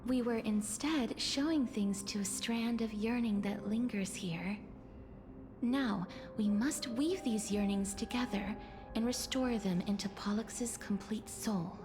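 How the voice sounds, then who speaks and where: An actress voices a gentle young woman of a fantasy game, solemn and tender. Her voice is fairly high, soft and breathy, with measured, formal phrasing. Clear music plays underneath. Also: lightly acted. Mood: calm explaining. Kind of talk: video game story dialogue.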